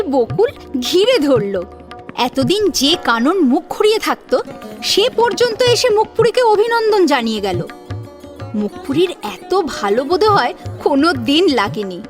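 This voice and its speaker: A female narrator reads a scripted audio story in a dramatic style. Her voice is high-pitched, with soft music playing behind it.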